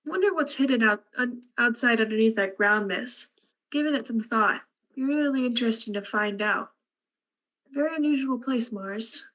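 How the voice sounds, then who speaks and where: A thoughtful ship's scientist acted by a woman in a radio play. The voice sits a little high, with an unruffled, musing, measured delivery. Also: a bit bright, close to an everyday voice, low-quality recording. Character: thoughtful, unruffled scientist doctor aboard a spaceship. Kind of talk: radio drama acting.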